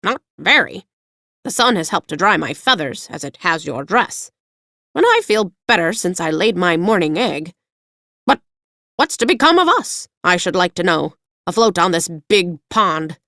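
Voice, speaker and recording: A woman voicing a fussy, proper yellow hen in a storybook reading, with a prim, confiding manner. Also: on the low side, very animated, moderate pace, no echo, clean studio sound, heavily acted. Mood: prim chatter. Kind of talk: audiobook character voice.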